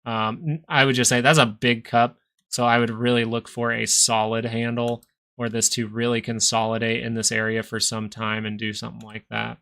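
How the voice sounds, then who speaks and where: A man's voice: mid-range voice, flat delivery, normal speed, gravelly, fairly bright tone. A man in his thirties hosting a live stock market stream, chatting casually between chart analysis and answers to viewer questions. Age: 30s. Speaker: stock market livestream host.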